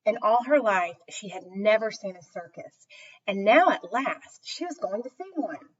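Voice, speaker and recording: A woman reading a children's story aloud with expressive, deliberate emphasis. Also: mid-range voice, husky. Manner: slow, animated, deliberate emphasis. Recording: dull, distant microphone.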